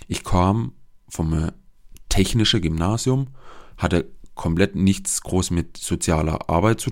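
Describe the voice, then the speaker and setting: A young man with a regional dialect speaks in a very low, gravelly voice. He talks earnestly through his own podcast alone, often pausing and restarting as he finds his words. The delivery is plain, steady monologue. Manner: earnest and searching, with frequent hesitations and restarts. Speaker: podcast host, social worker.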